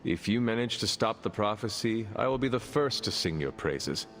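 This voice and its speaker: Low-voiced, stoic formal warrior. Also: male voice, animated, a bit bright. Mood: earnest. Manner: formal, measured, avoids contractions. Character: stoic, formal male demon-hunting warrior, fearless and courteous. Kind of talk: video game cutscene acting. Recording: long reverb, faint music behind.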